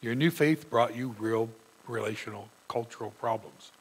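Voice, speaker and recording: A man's voice: mid-range voice, normal speed, breathy edge, poor audio. A middle-aged male pastor with a sore throat, preaching earnestly from a church pulpit. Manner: earnest preaching, reading scripture aloud with emphasis. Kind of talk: sermon. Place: church sanctuary.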